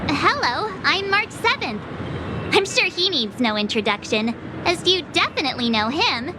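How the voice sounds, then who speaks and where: A woman voicing a bubbly, eager teenage girl adventurer in an anime-style video game, perky and expressive. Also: sits high, moderate pace, background noise. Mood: bright, eager.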